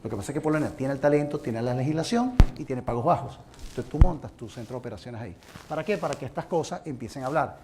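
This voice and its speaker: A man's voice: medium pitch, animated, fast talker. A man from Venezuela presenting a seminar talk to a live audience, speaking earnestly and addressing them directly with advice. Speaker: conference presenter. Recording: noisy room recording.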